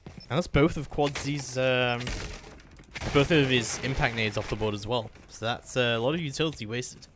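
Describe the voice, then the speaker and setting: A man's voice: medium pitch, normal speed, a bit bright, a little hiss or hum. A man giving live play-by-play esports commentary in a flowing, detailed running description.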